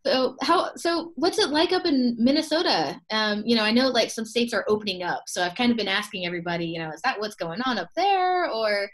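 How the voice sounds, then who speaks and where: A woman's voice: on the high side, animated, brisk, hardly any pauses. A young woman hosting a casual video call interview, upbeat and chatty, often laughing between thoughts. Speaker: host, expo co-owner.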